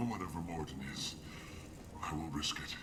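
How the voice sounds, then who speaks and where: Slow, deep, dying alien man. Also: echoey room, recorded from a distance, exaggerated voice. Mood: weary, faintly hopeful. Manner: slow, formal, florid and accusing speech. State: sick, coughing, weak. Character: dying bitter plague-stricken male alien, suspicious of humans. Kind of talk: video game character acting.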